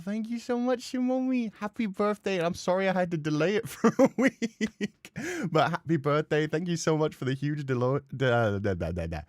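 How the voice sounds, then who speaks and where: A man livestreaming a horror game, chatting casually and excitably and swearing freely. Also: mid-range voice, no echo, studio quality. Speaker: game streamer.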